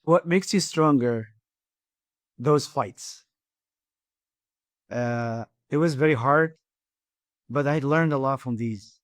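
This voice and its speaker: A middle-aged man with an Iraqi Arabic accent, a guest on a podcast interview, talking haltingly with many restarts and repeated words. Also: medium pitch, dry recording, studio quality. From Iraq. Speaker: guest, engineering firm president.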